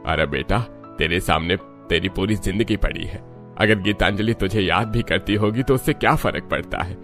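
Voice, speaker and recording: A man playing a low-voiced, concerned older father. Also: normal speed, fairly bright tone, faint music behind. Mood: concerned, urging. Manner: earnest, admonishing. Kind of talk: cartoon story dialogue.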